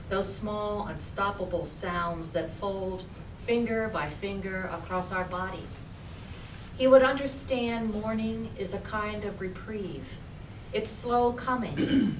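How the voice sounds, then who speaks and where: A woman recites her own poem slowly at a live reading. She sounds set back from the mic in a reverberant room, with clearly audible noise. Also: mid-range voice, far from the mic. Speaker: poet reading own work. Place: public library reading room.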